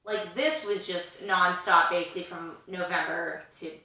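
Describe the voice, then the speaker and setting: A woman's voice: on the low side, fairly even intonation, moderate pace, bright, forceful tone, heavy reverb, far from the mic. A woman in her twenties co-hosting a podcast, chatting in a rambling way with lots of fillers. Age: 20s. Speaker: podcast co-host. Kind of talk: casual chat.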